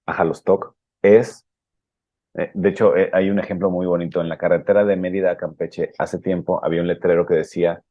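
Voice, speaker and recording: A man from Guadalajara with a Mexican Spanish accent, hosting a podcast and explaining ideas in a measured way. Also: deep voice, no room sound, muffled recording. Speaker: podcast host. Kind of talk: interview questions.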